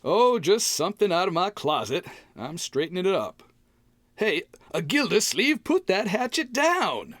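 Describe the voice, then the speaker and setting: A man's voice: high voice, big pitch swings, a little slow, fairly bright tone, no room sound, exaggerated voice. A man playing a grumpy, exasperated homeowner with a folksy, exaggerated delivery in old-time radio comedy style. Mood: grumbling. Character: grumpy homeowner tidying his cluttered closet, testy.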